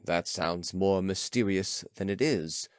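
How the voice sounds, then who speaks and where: A man's voice: low-pitched, takes it slowly, clean tone, dry recording, clean studio sound. A man voicing a young male student who is polite, solemn and earnest, in an audiobook story. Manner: formal, earnest.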